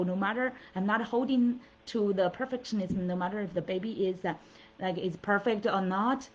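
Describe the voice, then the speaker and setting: A middle-aged woman from China, whose first language is Mandarin, coaches her listeners in an earnest, encouraging way. She talks at a mid pitch, stopping and restarting her sentences often. The audio sounds dull and lo-fi. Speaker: coach and show host. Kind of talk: motivational solo talk.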